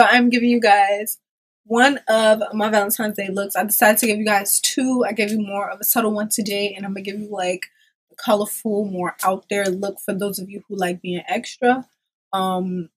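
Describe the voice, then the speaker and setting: A woman's voice: mid-range voice, steady tone, normal speed, a bit bright, breathy edge, poor audio. A young woman in her twenties with a stuffy cold, chatting casually through a makeup tutorial in a small room, loose and full of ums and likes. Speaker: beauty vlogger. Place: small room in front of a pink backdrop.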